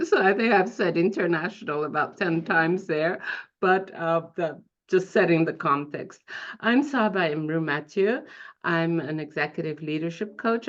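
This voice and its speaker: A woman hosting and introducing an interview, speaking in a polite and measured way. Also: low-pitched. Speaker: interviewer, executive leadership coach. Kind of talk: interview host. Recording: dull lo-fi audio.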